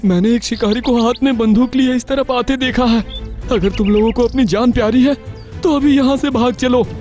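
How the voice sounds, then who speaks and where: A man's voice: very high voice, moderate pace, a little mellow, soft background music. A man voicing an earnest male jungle animal in a cartoon story, speaking emphatically. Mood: urgent. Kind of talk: Hindi cartoon moral story voice acting.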